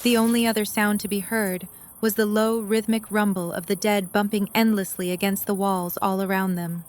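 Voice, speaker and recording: Woman narrator, medium pitch, scripted storytelling, audio drama narration. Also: little pitch movement, moderate pace.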